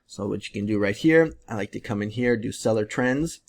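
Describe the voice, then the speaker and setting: A man in his thirties giving a relaxed, rambling screen-share tutorial with many fillers, his voice strained as he loses it. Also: lowish voice, brisk, gravelly. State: losing his voice, clearing his throat. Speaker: print-on-demand seller and tutorial creator.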